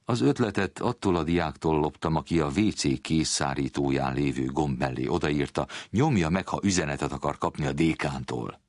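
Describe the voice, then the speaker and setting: A man with an extremely deep voice reads from a prepared text. His delivery is measured and precise, and the recording is dry and close. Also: darkish tone, no room sound. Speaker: reader of book excerpt. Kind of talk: scripted literary reading.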